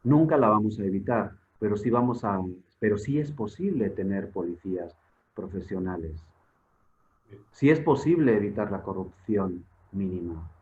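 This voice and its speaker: A man's voice: lowish voice, lively intonation, moderate pace, a little mellow. Spain-born guy lecturing over a video call, sounds thin like a phone line, keeps asking himself questions and stumbling on repeats. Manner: emphatic, repeats words while searching, rhetorical questions. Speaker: guest expert on public security. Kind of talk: conversational lecture in an online forum. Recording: tinny phone-like online call audio.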